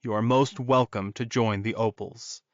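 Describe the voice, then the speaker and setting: A man's voice: mid-range voice, fairly even intonation, normal speed, no echo, highs cut off, natural voice. A man voicing a polite, reserved young male pack leader in a fantasy audiobook, speaking formally. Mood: reserved, courteous.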